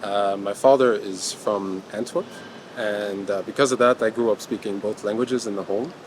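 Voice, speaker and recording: A man from Belgium telling a relaxed story about his family in a casual street chat. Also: on the low side, moderate pace, poor audio. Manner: relaxed storyteller, laughs easily. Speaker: interviewee. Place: outdoors on a city street. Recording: street with background noise.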